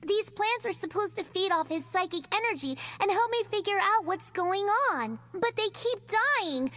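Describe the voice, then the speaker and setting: Young girl: extremely high, bright, sarcastic, defensive, video game character. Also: expressive, moderate pace. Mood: worried. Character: sharp-tongued, defensive young girl, agent's daughter.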